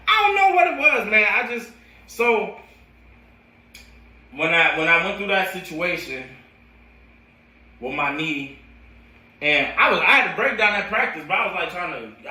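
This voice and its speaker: Bright, forceful American man, roomy sound. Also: medium pitch. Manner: slangy, animated talk peppered with "like" and "bro". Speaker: football coach telling his story. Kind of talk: casual autobiographical storytelling. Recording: roomy echo, distant from the mic.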